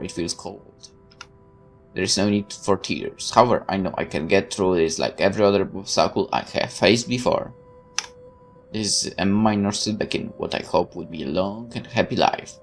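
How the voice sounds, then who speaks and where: A woman's voice: very deep voice, a little hiss or hum. A woman hosting a let's play, reading visual novel narration aloud and adding her own asides.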